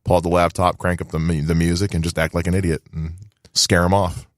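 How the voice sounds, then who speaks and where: A man chatting casually and telling comic anecdotes in a jokey way, quick to laugh. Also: deep voice, little pitch movement, no room sound, studio quality. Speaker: comedian guest on a radio show. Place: small dry studio. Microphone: studio microphone.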